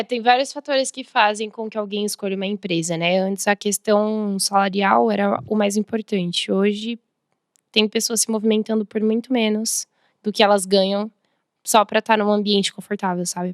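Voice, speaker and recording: A woman's voice: medium pitch, no echo, clean studio sound. A young Brazilian woman, a podcast guest, speaks emphatically and acts out the people she quotes. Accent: Brazilian Portuguese. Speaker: podcast guest, diversity and inclusion expert. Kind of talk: conversational interview answer.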